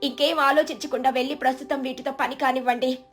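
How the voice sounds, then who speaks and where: Woman playing a devoted, high-voiced coaxing wife. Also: steady tone, fast, soft background music, lightly acted. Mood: concerned, coaxing. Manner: reassuring, coaxing. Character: gentle, devoted wife comforting her worried husband.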